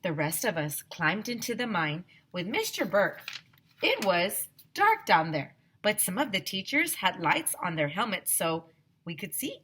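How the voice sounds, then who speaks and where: Animated woman reading a children's story. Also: fairly low pitch, measured pace, a little hiss or hum. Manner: expressive, emphatic. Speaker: teacher reading a story aloud. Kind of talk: animated children's read-aloud narration.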